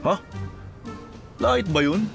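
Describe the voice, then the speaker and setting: A man's voice: high-pitched, expressive, slow, vocal fry, soft background music, full character voice. A man playing a charming, easygoing policeman in a radio drama, playful and casual. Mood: teasing, deflecting. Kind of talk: Filipino radio drama acting.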